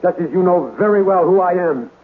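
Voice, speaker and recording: Earnest young lover, old radio sound. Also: male voice, sits high, brisk, phone-quality sound. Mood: agitated. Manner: melodramatic, earnest. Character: earnest young man, devoted secret lover. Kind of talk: old-time radio drama acting. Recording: band-limited old radio recording, music behind.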